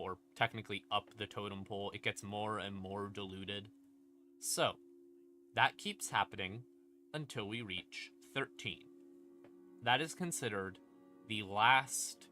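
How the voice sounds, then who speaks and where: A male streamer with a bright, punchy voice explains game lore in a casual, conversational way. He leans on words for dramatic emphasis and drops in informal asides and swearing. Faint creepy ambient music plays behind him. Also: fairly low pitch. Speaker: streamer, host.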